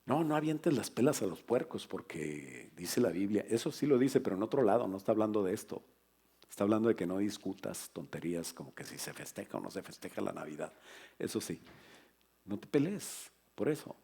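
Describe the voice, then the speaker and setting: A middle-aged man, a pastor, preaching a lively sermon to his congregation in a church, with humor and asides to the listeners. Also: on the low side, a little fast, vocal fry, husky.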